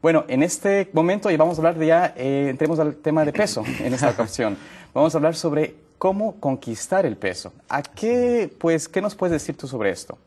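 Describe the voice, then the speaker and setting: Mellow-voiced male health show host. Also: a little high, sing-song, normal speed. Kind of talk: TV talk show hosting.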